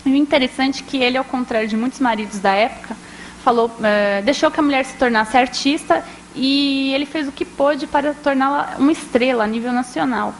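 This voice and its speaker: A woman's voice: sits high, fairly quick, slight background noise, poor audio. Chatty young woman. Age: young adult. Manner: chatty, frequent tag questions, quotes people in lively voices. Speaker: guest speaker, journalist and biographer. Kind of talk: casual storytelling talk. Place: indoor talk at an archive.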